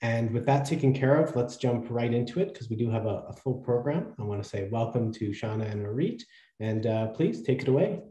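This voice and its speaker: A man's voice: mid-range voice, lively intonation, a little fast, dark tone. A man co-chairing an online event gives friendly housekeeping notes, with some hesitant fillers. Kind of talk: housekeeping announcements. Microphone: video call. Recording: echoey room over a video call.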